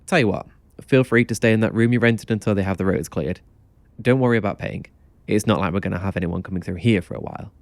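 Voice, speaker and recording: A man's voice: lowish voice, quick pace, dry recording, natural voice. A man playing a laid-back young motel clerk in an audio drama, offhand but kindly. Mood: helpful.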